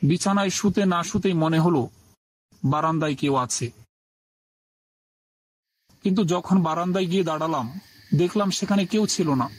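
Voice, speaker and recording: A man's voice: on the high side, normal speed, pauses often, no echo. A man narrating a scripted first-person horror story in a dramatic, suspenseful way.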